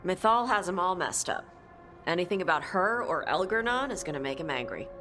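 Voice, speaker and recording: A woman playing a brisk, dismissive adventurer in a dramatic fantasy video game. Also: fairly low pitch, expressive, close to an everyday voice. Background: background music.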